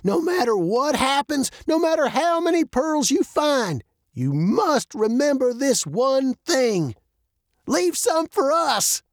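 A storybook narrator voicing an old male mountain wanderer, a grandfather given to emphatic, preachy spiels and quick to take offense. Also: high-pitched, very animated, dry recording, studio quality, pitched above the performer's own voice, heavily acted. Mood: earnest.

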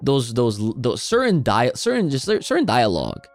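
Male co-host, rambling casual chat, animated pitch, dry sound. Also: moderate pace, dry recording, soft background music. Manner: rambling, lots of fillers and restarts. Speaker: podcast co-host.

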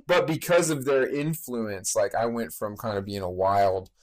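A man's voice: lowish voice, moderate pace, a little mellow. A man of thirty-seven, a podcast guest who talks expressively and at length in casual interview chat. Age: 30s. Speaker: podcast guest, bookstore co-owner.

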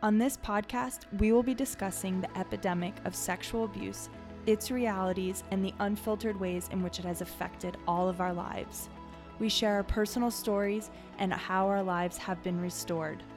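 A woman's voice: medium pitch, fairly even intonation, normal speed, music in the background. A woman hosting a podcast, welcoming listeners and co-hosts in an upbeat, casual way. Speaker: podcast host.

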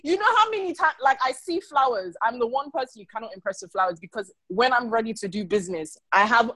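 A woman's voice: on the high side, fast talker, no echo. A woman chatting on a podcast, telling stories with energy and acting out the people in them. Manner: animated storyteller, acts out customers. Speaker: guest. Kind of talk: casual podcast chat.

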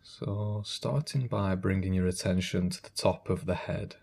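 A man speaks as a meditation guide in a low, husky voice. His delivery is calm and gentle, with unhurried instructions and space left between phrases.

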